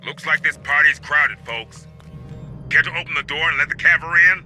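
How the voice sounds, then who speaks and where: A man's voice: a little high, fairly quick, pushed and bright, faint music behind. An actor playing a cocky, wisecracking male marine in an action video game, brash and joking.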